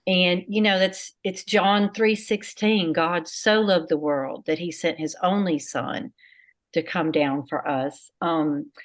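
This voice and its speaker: A woman's voice: on the low side, steady tone, slow. A woman, speaking candidly and reflectively about her faith and family in a casual group talk. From United States. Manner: candid, reflective, many fillers. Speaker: guest sharing a testimony.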